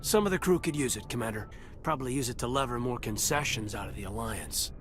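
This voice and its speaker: A man playing a thoughtful, dutiful military lieutenant in a video game, measured and respectful. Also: mid-range voice, fairly bright tone, lightly acted. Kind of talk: video game dialogue acting. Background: music.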